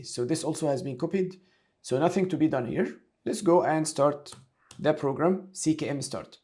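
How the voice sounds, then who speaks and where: A man giving a step-by-step software tutorial, talking through each action aloud as an instructor. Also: a little high. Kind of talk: screen-share tutorial, explaining step by step.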